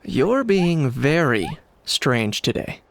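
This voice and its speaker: Composed, deadpan young man. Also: medium pitch, slow pace, vocal fry, a little hiss or hum, heavily acted. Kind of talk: video game voice acting.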